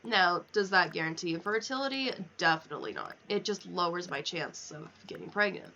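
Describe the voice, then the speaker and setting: A woman's voice: on the low side, expressive, fairly quick, sharp tone, muffled recording, a little hiss or hum. A young woman chatting casually on a game livestream, candid and rambling, swearing freely as she goes.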